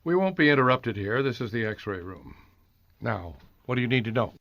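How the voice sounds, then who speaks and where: A brisk guarded doctor in an old style radio play, mid voice that moves around a lot. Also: male voice, medium pitch, sing-song, no echo, highs cut off, soft background music. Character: brisk male nursing home doctor. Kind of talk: radio detective drama acting.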